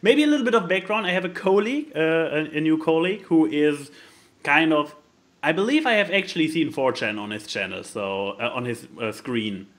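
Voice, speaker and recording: A podcast co-host with a widely swooping, animated voice in a middle register. The delivery is casual and theatrically emphatic, with halting patches and stumbles over words. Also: mid-range voice. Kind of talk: casual chat, reading out and commenting.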